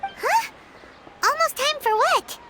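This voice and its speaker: Squeaky, very high childlike fairy companion. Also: female voice, slow pace, exaggerated voice. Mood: eager. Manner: squeaky, excitable, speaks of herself in third person. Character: tiny childlike female floating fairy companion, eager and loyal. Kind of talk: video game voice acting. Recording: music behind.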